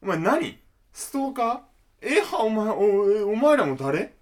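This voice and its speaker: Guy acting a brash flashy dude, slangy and curt, irritable, super animated pitch, radio drama. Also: slow, heavily acted. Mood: annoyed.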